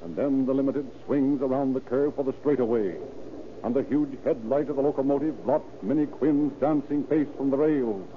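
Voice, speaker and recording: A man's voice: medium pitch, moderate pace. A man narrating and announcing in a formal, theatrical, emphatic 1930s radio style. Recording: tinny, hissy 1930s radio recording.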